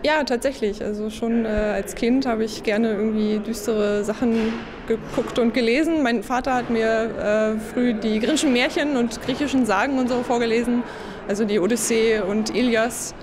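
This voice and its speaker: A woman's voice: a little high, fairly even intonation, moderate pace. A young woman in her twenties talking thoughtfully about her project, with fillers, into a handheld mic. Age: 20s. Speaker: design graduate. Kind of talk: interview at an exhibition. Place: indoor exhibition room. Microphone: handheld interviewer mic. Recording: audible background noise.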